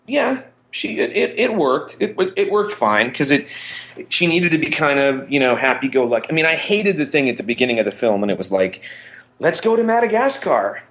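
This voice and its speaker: A man's voice: a little high, sing-song, moderate pace, fairly bright tone. A man co-hosting a podcast in casual, enthusiastic pop-culture chat, rambling and often interrupting himself. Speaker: podcast co-host.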